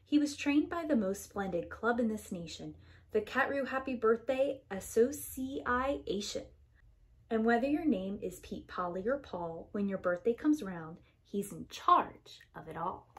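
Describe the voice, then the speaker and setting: A woman's voice: a little high, expressive, unhurried. A woman reading a rhyming children's story aloud in an emphatic, theatrical preschool-teacher manner. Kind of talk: expressive children's storybook read-aloud in rhyme.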